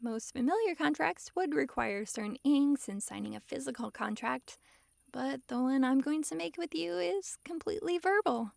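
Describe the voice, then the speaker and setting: A woman's voice: high-pitched, expressive, moderate pace, gravelly, no echo, studio quality, full character voice. A woman playing a possessive, lovestruck fox-girl mage in an intimate ASMR roleplay, sweetly controlling and giggly. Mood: overjoyed. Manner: sweetly controlling, giggly, swings between gushing and hushed coaxing. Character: possessive, lovestruck young-sounding fox-girl mage, dominant yet giddy.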